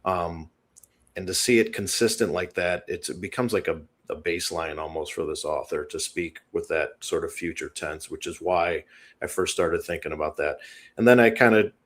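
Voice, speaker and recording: A man's voice: low voice, moderate pace. A man guest on an interview show, explaining a written analysis in a tentative, thinking-aloud way.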